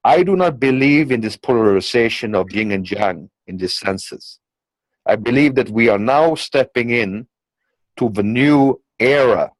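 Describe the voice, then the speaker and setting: A man with a non-native English accent speaks at a medium pitch in a very dry recording. He delivers a blunt, emphatic monologue in the manner of a political commentator. His phrasing rambles and circles back, punctuated by small tags like okay and you know. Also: unhurried, no echo, low-quality recording. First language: not English.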